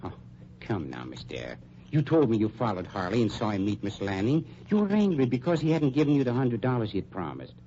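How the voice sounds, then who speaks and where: A smooth, sinister undertaker speaks with prim, wounded courtesy and sweeping intonation. His tone is mellow and his formality menacing, on a muffled, noisy old radio drama recording. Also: on the low side, big pitch swings. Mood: silky prodding. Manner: over-polite, prim, mock-hurt, drawn-out formal phrasing. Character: unctuous, sinister male funeral director and underworld schemer.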